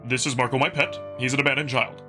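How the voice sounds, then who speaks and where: A man's voice: medium pitch, fairly even intonation, quick pace, music in the background, natural voice. A man voicing a sullen, embarrassed male wolf prince in a visual novel, speaking in a curt, weary manner. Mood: reluctant.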